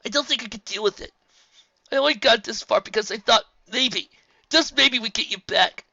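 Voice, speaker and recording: A reader voicing Spike, a young male dragon, distraught and loyal, who chokes up and yells. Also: mid-range voice, lively intonation, a little fast, creaky, no echo, dull audio, pitched above the performer's own voice, exaggerated voice. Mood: pleading. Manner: choked, yelling, pleading.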